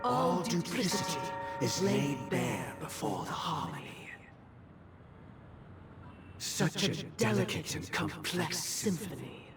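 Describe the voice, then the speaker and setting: A man's voice: sits high, sing-song, a little slow, gravelly, heavily acted. An actor playing a composed, devout man of a powerful order in a video game, formal and reverent with veiled menace. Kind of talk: scripted video game voice acting. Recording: distant voice under loud music.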